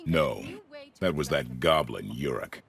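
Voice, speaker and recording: Game creature hero: calm, imposing, extremely low register, lively swings in pitch. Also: male voice, measured pace, heavily acted. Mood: grave, assured. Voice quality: very deep, gravelly. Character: calm, imposing adventurer creature made of ancient relics. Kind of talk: video game cutscene acting. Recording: faint music underneath.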